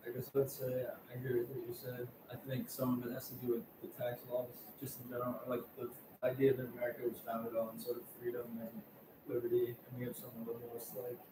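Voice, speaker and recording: Flat-voiced tentative man. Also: mid-range voice, quick pace. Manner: tentative, many hedges. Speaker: discussion group participant. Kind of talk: casual group discussion. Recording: echoey room, distant mic, background noise.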